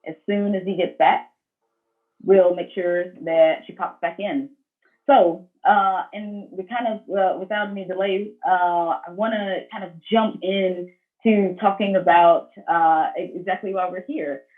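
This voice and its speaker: A woman moderating a panel discussion on a video call, speaking in a measured, affirming way. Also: on the low side, fairly even intonation, narrow, tinny audio. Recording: thin, band-limited video call audio.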